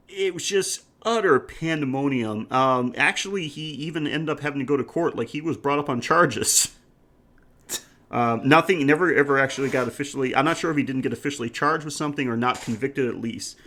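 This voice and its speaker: A man's voice: medium pitch, a little fast, bright, forceful tone. Casual, jokey man co-hosting a podcast. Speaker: podcast co-host. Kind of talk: casual film discussion chat.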